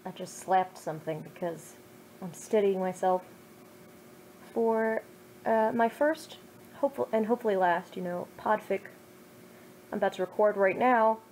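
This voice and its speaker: A woman's voice: medium pitch, unhurried, pauses often, a little mellow, a little hiss or hum, poor audio. A woman in her twenties, a streamer reading her own old fan fiction with self-mocking, over-the-top jokes. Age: 20s. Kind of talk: casual chat then dramatic story reading.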